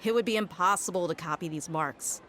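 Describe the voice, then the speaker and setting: A woman's voice: fairly low pitch, a little fast, background noise, natural voice. A woman playing a confident, easygoing young mercenary swordswoman in a video game, speaking in an assured, matter-of-fact way. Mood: assured, explaining.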